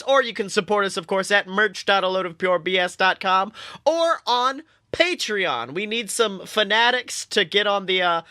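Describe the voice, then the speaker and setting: Male podcast host, bright and clean-toned, dry close sound, animated comic banter. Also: high-pitched, clean tone, dry recording. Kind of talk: casual comedic podcast banter.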